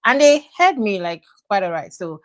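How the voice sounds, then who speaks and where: A woman's voice: medium pitch, lively intonation, normal speed. A young woman with a Nigerian accent, a student guest on a podcast, speaking candidly with emphatic repeats. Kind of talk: casual podcast interview.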